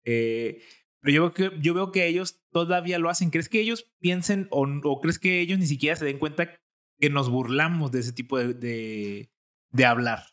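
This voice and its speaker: Young male co-host, Jalisco accent, relaxed chatty delivery. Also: a little high, normal speed. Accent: Mexican, from Jalisco. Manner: conversational, many fillers, thinks aloud. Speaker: podcast co-host. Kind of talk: casual chat.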